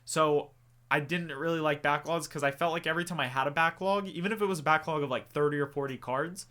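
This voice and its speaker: A man speaking in a bright, forceful voice pitched a little above the usual male range. He answers viewer questions in a loose, rambling way, full of casual asides and little jokes at his own expense. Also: fast talker. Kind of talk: casual Q&A chat to camera.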